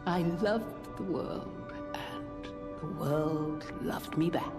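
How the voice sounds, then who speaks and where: A woman voicing an elderly, genteel, nostalgic lady in a video game, breathy and reflective. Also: low voice, drawn-out words, music in the background, full character voice. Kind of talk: scripted game drama.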